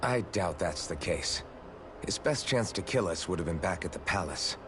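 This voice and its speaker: An actor voicing a calm, level-headed young hero in an anime-style game. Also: male voice, low-pitched, moderate pace, background noise.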